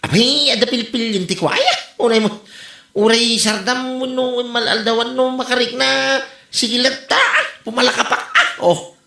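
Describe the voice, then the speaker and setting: A woman with an Ilocano Filipino accent acting a gullible, excitable husband in an amateur comedy audio drama. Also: a little high, a little slow, creaky, full character voice. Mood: excited. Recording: roomy, echoing amateur podcast recording.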